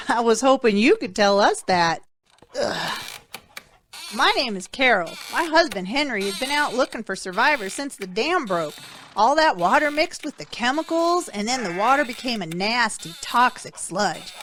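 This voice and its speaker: A woman plays a kindly country woman from an audio drama. Her voice is fairly high and moves up and down freely, with a folksy, soothing, motherly way of talking. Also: very animated, moderate pace, a bit bright, a little hiss or hum. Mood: gentle concern.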